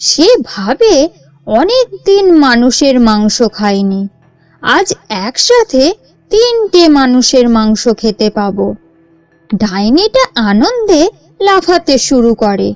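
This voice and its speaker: Female narrator for a cartoon tale, unhurried and swooping in pitch, measured expressive delivery, dry sound. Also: no echo, soft background music. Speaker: storyteller. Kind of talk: scripted story narration for a cartoon.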